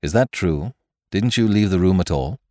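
A man's voice: low voice, animated, normal speed, dark tone, dry recording, studio quality. A man voicing an impatient, matter-of-fact middle-aged police inspector. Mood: brisk.

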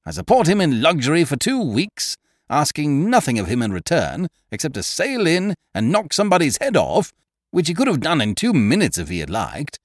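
A man voicing a blustering male schemer who booms and rants in exasperation. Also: wildly swooping pitch, normal speed, fairly bright tone, no echo, studio quality, exaggerated voice. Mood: indignant. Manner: booming, exasperated ranting. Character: blustering loud male schemer, booming and indignant.

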